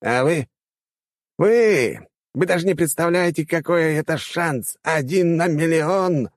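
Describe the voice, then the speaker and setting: Casting: male narrator as a pompous old academy rector, pitched high, indignant and exclamatory, wheedling like a salesman, fantasy audiobook. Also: normal speed, a little mellow, no echo, close to an everyday voice. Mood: fervent exclaiming.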